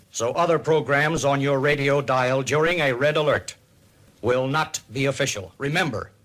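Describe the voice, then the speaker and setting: A man with an American accent narrating a 1950s civil defense radio program in a formal, deliberate, authoritative announcer style. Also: mid-range voice, fairly bright tone.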